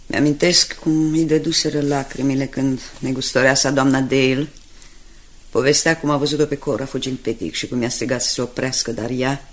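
An actress playing a dutiful, sorrowful newspaper secretary in a radio drama, speaking respectfully with subdued emotion. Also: female voice, a bass voice, steady tone. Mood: sorrowful, bitter. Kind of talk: 1980s Romanian radio drama acting.

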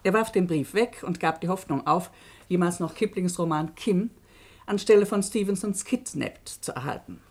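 A woman playing a dryly ironic jurist who narrates and lectures deliberately in a German radio play. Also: on the low side, expressive, a little mellow. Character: sharp, lecturing female jurist telling a case to students.

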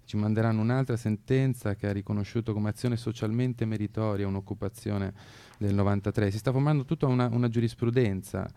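A man speaking as an activist on the radio, explaining his views earnestly in a halting, measured way. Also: fairly low pitch, fairly even intonation, mellow, no room sound. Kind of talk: earnest political discussion.

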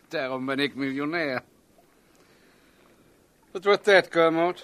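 A man's voice: on the high side, slow, a bit bright, no room sound. A man acting in a radio play as a self-assured, rich businessman and bon vivant, lecturing in a leisurely, pompous way. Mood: smug, commanding.

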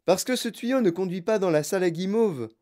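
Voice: mid-range voice, expressive, brisk, warm tone, pitched above the performer's own voice, lightly acted. A storyteller voicing a flamboyant, gleeful male factory owner, eccentric, emphatic and mischievous.

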